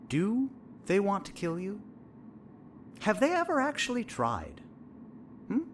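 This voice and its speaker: Man acting as a smug, wildly expressive sinister therapist. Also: sits high, a little hiss or hum, full character voice. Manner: measured, theatrical, condescending, falsely soothing. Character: sinister therapist of a horror town, smug and probing. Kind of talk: audio drama acting.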